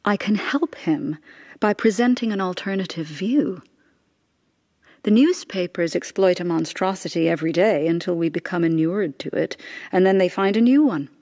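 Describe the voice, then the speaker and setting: A woman's voice: fairly low pitch, normal speed, a little mellow. Intense, devout mother in anguish. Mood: bitter, defiant. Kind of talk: radio drama acting.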